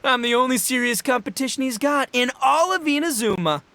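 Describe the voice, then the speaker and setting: A man's voice: very high-pitched, lively intonation, brisk, bright, forceful tone, full character voice. A man voicing a brash, boastful young gang boss and rocker in a video game, with cocky, boisterous, slangy delivery. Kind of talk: animated video game voice acting.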